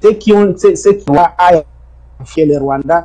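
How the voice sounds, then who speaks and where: Husky-voiced Congolese man, insistent. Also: unhurried, warm tone. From Democratic Republic of the Congo. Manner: insistent, repeats himself, emphatic rises and low trailing ends. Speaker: interview guest. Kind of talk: defensive testimony in an interview.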